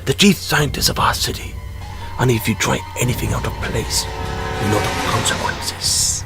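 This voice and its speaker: A man playing a cold, grandiose reptilian alien leader in an audio drama, formal and threatening, with a hissing, breathy edge. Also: mid-range voice, moderate pace, natural voice. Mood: coldly commanding. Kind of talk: audio drama villain acting. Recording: loud music under the voice.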